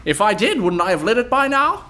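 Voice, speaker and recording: A performer playing a flippant, petulant rival detective in a comic noir radio drama, with affected old-fashioned gentlemanly phrasing. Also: medium pitch, sing-song, a little fast. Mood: petulant, teasing. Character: flippant, posh-talking male rival detective, teasing and petulant.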